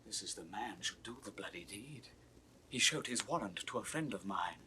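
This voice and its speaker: A man's voice: fairly low pitch, lively intonation, normal speed, background noise, close to an everyday voice. A man acting an earnest, indignant English nobleman in a classical Shakespeare audio drama, declaiming with emphatic rhetoric. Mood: hushed, fearful.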